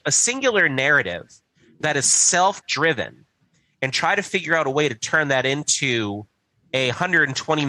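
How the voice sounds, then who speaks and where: A man with a bright, firm voice in a very dry recording. He co-hosts a podcast and lays out his reasoning in a conversational, explanatory way, checking in as he goes. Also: fairly high pitch, no echo, poor audio. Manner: explanatory, checks in with "right" and "you know". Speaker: podcast co-host. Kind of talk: casual explanatory discussion.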